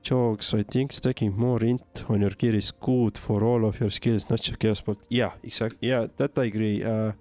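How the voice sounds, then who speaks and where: A man's voice: on the low side, fairly even intonation, normal speed, hardly any pauses, a little mellow, no room sound, faint music behind. A man from Estonia with an Estonian accent, giving casual livestream game commentary and answering chat in a hesitant, thinking-aloud way. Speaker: game streamer.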